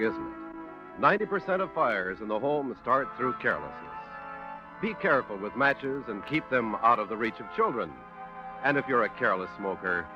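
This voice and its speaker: American radio announcer, muffled vintage sound, man. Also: medium pitch. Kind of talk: 1950s radio announcing, scripted. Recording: muffled old radio broadcast, music behind.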